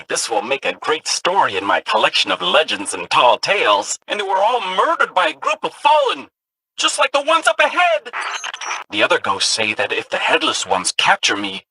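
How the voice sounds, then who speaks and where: A chirpy curious little ghost robot. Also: male voice, high voice, wildly swooping pitch, normal speed, gravelly, sharp tone, breathy edge, full character voice, rough recording. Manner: curious, chirpy storyteller of spooky legends. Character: eager chatty ghost companion robot, young male. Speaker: game companion voice. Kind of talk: game voice lines.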